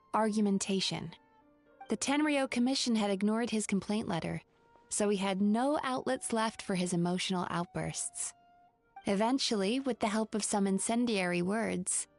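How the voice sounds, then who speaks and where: A woman playing a composed young dream therapist in a video game, measured, clinical and articulate. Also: mid-range voice, a little mellow, natural voice.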